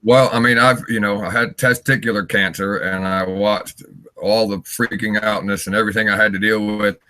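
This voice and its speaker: Raspy 50 yr old guy, low voice, rambles and laughs a lot. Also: fairly even intonation, normal speed, bright, forceful tone. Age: 50s. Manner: wandering, candid, laughs often. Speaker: interview guest, singer. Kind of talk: rambling live interview chat.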